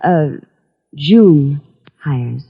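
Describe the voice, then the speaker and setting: Lilting radio femme fatale, muffled recording. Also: female voice, low-pitched, drawn-out words, creaky, husky, full character voice. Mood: coy, flirtatious. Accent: American. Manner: coy, flirtatious, expressive. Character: seductive, scheming wife, a cool femme fatale. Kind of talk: old-time radio crime acting. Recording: dull vintage radio recording.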